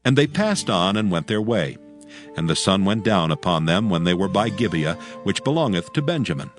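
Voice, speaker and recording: A man's voice: deep voice, very animated, normal speed, soft background music. A man narrating dramatized scripture in archaic English with a solemn storytelling manner.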